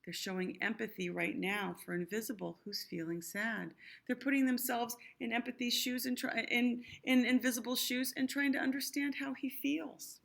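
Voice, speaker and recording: A woman's voice: a little high, fairly even intonation, normal speed, vocal fry, hardly any pauses, a bit bright. A woman reading a picture book aloud to young children, expressively, with explanatory asides. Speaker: storybook reader.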